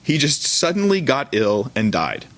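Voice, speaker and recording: A man's voice: medium pitch, huge pitch swings, measured pace, fairly bright tone. A man who is a pastor, teaching an informal sermon in a conversational, colloquial manner, often correcting himself as he goes. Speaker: pastor teaching a church service.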